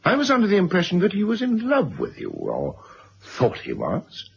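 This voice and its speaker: A man's voice: sits high, huge pitch swings, normal speed, vocal fry, full character voice. An actor playing a domineering, condescending middle-aged wealthy father in a radio drama. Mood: coolly sardonic. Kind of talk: 1970s radio drama acting. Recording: muffled, hissy old radio drama recording.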